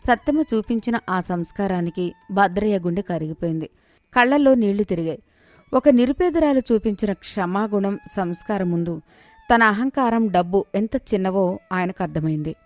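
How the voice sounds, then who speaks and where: A woman's voice: mid-range voice, moderate pace, no echo. A woman narrating a scripted moral story. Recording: dry studio, music underneath. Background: background music.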